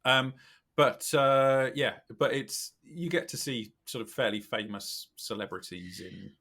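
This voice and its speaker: A British man with a slightly scratchy, croaky voice, chatting casually as a podcast guest in a wry, self-deprecating, animated way. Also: medium pitch, a little slow. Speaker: podcast guest, recruitment company director. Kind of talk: casual chat.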